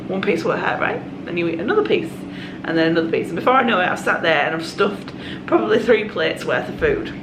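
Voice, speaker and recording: A woman in her thirties talks to her camera in a chatty, confiding way, her voice croaky from a cold. The room gives her voice a roomy echo. Steady background noise sits underneath. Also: lowish voice. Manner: chatty and confiding, with quick asides. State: hoarse from a cold. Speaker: vlogger. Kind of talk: casual vlog chat.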